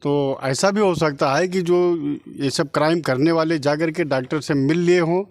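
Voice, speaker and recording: A middle-aged man from Uttar Pradesh lays out his case in an interview. His voice is on the high side and recorded dry. He is insistent, numbering his points and repeating his conclusions. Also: moderate pace, no room sound. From Uttar Pradesh, India. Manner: insistent, argues point by point, repetitive. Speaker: relative of the victim being interviewed. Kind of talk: interview testimony.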